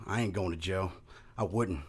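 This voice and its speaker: Man playing deep-voiced surly western gunman. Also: normal speed, slightly rough. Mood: defiant. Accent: American. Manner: curt, defiant. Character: defiant, surly Old West gunman. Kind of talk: old-time western radio play acting.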